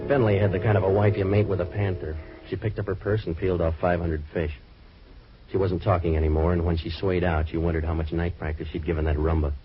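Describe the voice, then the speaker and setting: Hard-boiled detective, played by a man: American, very deep voice, sardonic and slangy, muffled vintage radio sound. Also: flat delivery, quick pace, faint music behind, lightly acted. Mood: wry narration. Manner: sardonic, clipped slangy delivery. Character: wisecracking hard-boiled male private detective. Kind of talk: old-time radio detective drama narration and dialogue. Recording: muffled old radio recording with hiss.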